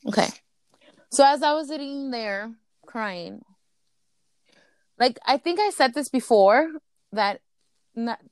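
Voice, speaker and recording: A woman's voice: a little high, smooth, no room sound. A woman co-hosting a podcast in casual chat, whose first language is Spanish, rambling nervously and stuttering now and then. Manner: rambling, nervous, laughs often. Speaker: podcast co-host.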